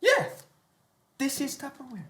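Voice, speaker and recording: A woman's voice: high-pitched, very animated, unhurried, a bit bright, heavily acted. A woman playing a cocky young vegan from Baltimore in a sitcom read on stage. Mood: smug, instructing. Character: cocky young mixed-race vegan from Baltimore, streetwise teacher. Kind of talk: sitcom table read before live audience. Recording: roomy stage sound.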